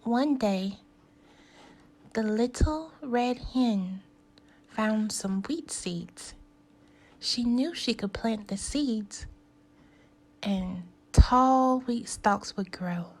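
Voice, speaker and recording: A woman with a fairly high voice reads a children's story as a teacher would. Her delivery is extremely slow and deliberate, with clear pauses between short phrases. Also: darkish tone, low-quality recording. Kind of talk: animated read-aloud storytelling for young learners.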